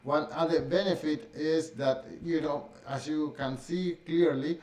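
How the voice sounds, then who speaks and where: A middle-aged man whose first language is Spanish talks to camera in a halting, casual way, full of fillers and restarts. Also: on the high side, steady tone, darkish tone. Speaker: vlogger. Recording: echoey room.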